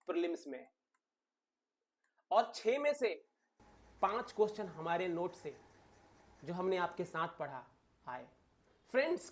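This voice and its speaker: A man's voice: high voice, darkish tone. A man giving an informal exam-analysis lecture to viewers, emphatic, repeating key phrases and asking rhetorical questions. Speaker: exam coaching teacher and channel host.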